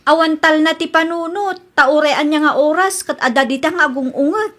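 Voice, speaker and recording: Exasperated young niece for radio comedy, female actor: very high voice, complaining, defensive, outspoken. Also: moderate pace, a bit bright. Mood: fed up. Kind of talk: radio comedy drama acting.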